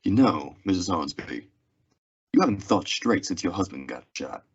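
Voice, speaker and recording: A man playing a weary, steady frontier marshal in an old-time radio western, terse, polite and restrained. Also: low voice, normal speed, husky. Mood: grim, firm. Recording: dull lo-fi radio drama recording.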